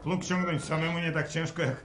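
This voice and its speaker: An actor playing an exasperated, hard-working farmer in a radio drama, scolding and sarcastic in a rustic way. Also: male voice, fairly high pitch, little pitch movement, fairly quick, close to an everyday voice. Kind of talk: Polish radio drama acting. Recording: noisy, roomy, distant old radio recording.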